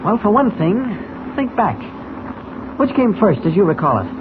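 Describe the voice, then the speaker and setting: Mild-mannered but urgent newspaperman, lively emphatic delivery, old radio drama on a hissy recording. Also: high-pitched, phone-quality sound. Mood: intrigued. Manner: urgent, theatrical, deliberate emphasis. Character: brisk, shrewd, mild-mannered adult male newspaper reporter. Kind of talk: 1940s radio serial acting.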